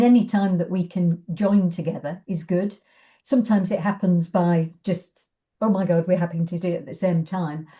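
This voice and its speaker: A woman with a fairly low, soft-edged, relaxed voice talks casually as an interview guest. She is chatty and hesitant, often feeling for the next phrase as she speaks. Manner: hesitant, chatty, thinking aloud. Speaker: arts organisation guest.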